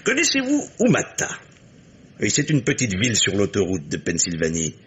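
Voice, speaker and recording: Deep-voiced fifty-something industrialist father. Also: wildly swooping pitch, fairly bright tone, husky, heavily acted. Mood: grave, confiding. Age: 50s. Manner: formal, deliberate, confiding. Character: fifty-five-year-old industrialist father, positive, discreet, blunt. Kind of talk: 1950s French radio drama acting.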